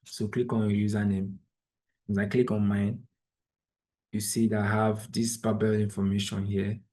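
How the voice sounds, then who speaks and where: A man's voice: on the low side, little pitch movement, moderate pace, darkish tone. A man whose first language is Igbo, from Nigeria, patiently walking through an online tutorial step by step over a video call. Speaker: trainer. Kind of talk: screen-share tutorial.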